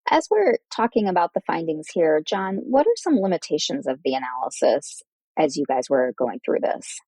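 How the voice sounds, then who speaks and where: A woman's voice: low voice, big pitch swings, normal speed, mellow, no room sound, rough recording. A woman speaking as a podcast host in a formal medical interview.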